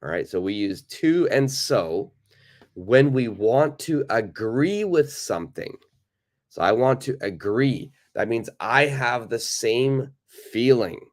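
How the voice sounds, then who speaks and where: A man with a cold and a raspy voice, teaching a live online English lesson, greeting viewers and repeating points slowly. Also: medium pitch, expressive.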